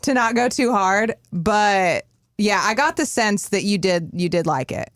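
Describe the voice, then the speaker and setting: A woman's voice: mid-range voice, expressive, measured pace, no room sound. Blunt woman chatting, dry close sound. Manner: blunt, opinionated. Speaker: podcast co-host. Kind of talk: casual chat, reviewing a film.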